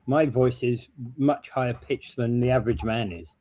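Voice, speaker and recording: Halting male news show co-host. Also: mid-range voice, warm tone. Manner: halting, many fillers. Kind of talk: casual news talk.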